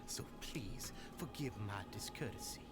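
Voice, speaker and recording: An actor playing a smooth-talking, scheming cult leader in a video game, formal and deferential in an ingratiating way. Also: male voice, mid-range voice, lively intonation, unhurried, close to an everyday voice. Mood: apologetic, placating. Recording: game audio under loud music.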